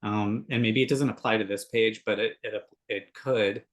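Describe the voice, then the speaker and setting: A man's voice: on the low side, steady tone, moderate pace. A man on a work video call, curious and often restarting his questions. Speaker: colleague asking questions. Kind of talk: casual work meeting.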